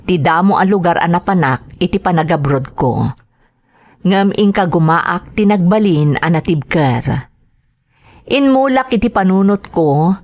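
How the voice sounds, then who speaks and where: An actress playing an earnest overseas worker with an Ilocano accent, narrating her life story in a radio drama. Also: on the low side.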